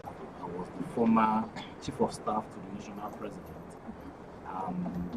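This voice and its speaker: Nigerian guy, lowish voice, hesitant studio guest, noisy recording. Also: fairly even intonation, unhurried. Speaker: guild member, studio guest. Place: radio and TV studio. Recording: noisy quiet studio pickup.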